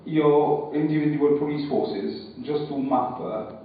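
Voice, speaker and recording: Man, audience speaker at a meeting, halting and careful, heard from a distance in a reverberant hall with dull sound. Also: on the high side. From Wales. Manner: hesitant, repeats words, cautious. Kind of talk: unscripted public speech. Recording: echoey hall, far from mic, dull sound.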